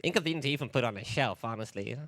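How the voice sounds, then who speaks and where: A man's voice: medium pitch, animated, rapid-fire, a bit bright. A man co-commentating a speedrun in casual chat, with wry, teasing reactions.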